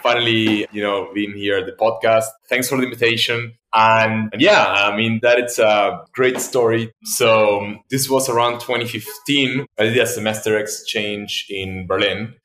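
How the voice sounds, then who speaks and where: Low-voiced man in a roomy space. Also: steady tone, normal speed, few pauses, a bit bright. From Argentina. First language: Spanish. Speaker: podcast guest, tech entrepreneur. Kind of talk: casual conversational storytelling. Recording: roomy, echoey sound.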